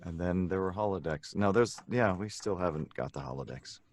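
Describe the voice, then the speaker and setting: Deep-voiced playful man from Canada. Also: animated, fairly quick. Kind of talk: casual chat.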